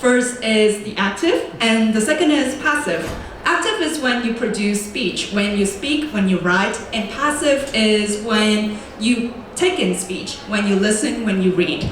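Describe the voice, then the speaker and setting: A young woman, a native Vietnamese speaker, gives a prepared student presentation in a clear, deliberate way and slows down to stress key words. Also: mid-range voice, a little hiss or hum. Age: young adult. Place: large echoey lecture room. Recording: very echoey room, voice from far off.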